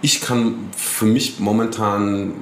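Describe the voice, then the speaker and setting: Relaxed low-voiced man, echoey room. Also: slow pace, a little hiss or hum. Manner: relaxed, rambling, slangy, many fillers. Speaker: rapper, interview guest. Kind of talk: casual interview chat.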